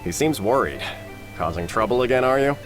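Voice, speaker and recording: A man's voice: medium pitch, lively intonation, a little fast, close to an everyday voice. An actor voicing a nosy older male relative with a familiar, chiding manner in an anime-style game. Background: game music.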